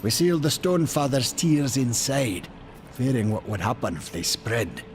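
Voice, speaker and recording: An actor playing a boastful, wry male maker in a video game cutscene, talking in a chatty, animated way. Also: medium pitch, moderate pace, background noise, lightly acted. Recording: game score behind.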